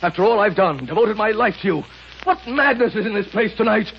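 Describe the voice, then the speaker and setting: An actor playing a self-righteous, wounded, imperious rich tyrant with melodramatic, indignant delivery in a 1930s radio drama. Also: male voice, high voice, lively intonation, fairly quick, gravelly. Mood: indignant, shaken. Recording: scratchy muffled 1930s radio recording.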